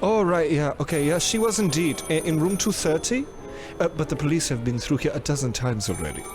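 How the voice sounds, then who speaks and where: A man's voice: on the high side, animated, fairly quick, over music, lightly acted. An actor playing a polite, hesitant male hotel concierge in an old-time radio drama parody.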